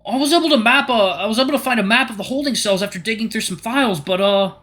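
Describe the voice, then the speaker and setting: Man playing a jittery doctor, hesitant, rambling, high-pitched, bright. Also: fast, faint music behind. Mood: nervous. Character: nervous male doctor on a walkie-talkie.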